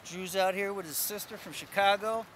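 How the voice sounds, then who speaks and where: An American man hosting a fishing vlog, chatting casually in animated, slangy talk outdoors on a beach. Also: sits high, moderate pace. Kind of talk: casual excited fishing chat. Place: outdoors on an open ocean beach. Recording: noisy surf and wind outdoors.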